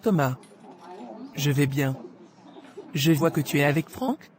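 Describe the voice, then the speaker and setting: A woman playing a poised, polite wife in an animated drama, with a reserved manner. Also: deep voice, takes it slowly, slight background noise. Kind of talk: scripted animated drama dialogue.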